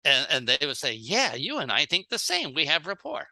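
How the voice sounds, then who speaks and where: Very bright, animated seminar speaker. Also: medium pitch, moderate pace. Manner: plain-spoken, acts out short example dialogues. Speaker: speaker giving a sales training talk. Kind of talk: seminar talk in short phrases, paused for an interpreter.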